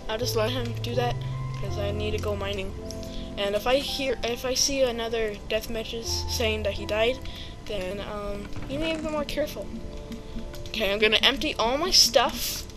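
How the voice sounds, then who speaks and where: A woman's voice: a little high, moderate pace. A woman giving casual let's-play commentary, chatty and hesitant with many ums and playful sound words. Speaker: video game player. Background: background music.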